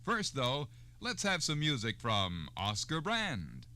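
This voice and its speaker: A man's voice: medium pitch, huge pitch swings, slow. A man announcing a scripted public service radio programme in a 1960s style. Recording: music behind, old radio recording. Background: music.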